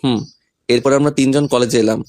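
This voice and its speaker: Young guy telling a romantic story, middle pitch, doing the lead's lines too. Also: steady tone, normal speed, darkish tone, dry recording. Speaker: story narrator and male lead. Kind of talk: scripted first-person romantic story narration with dialogue.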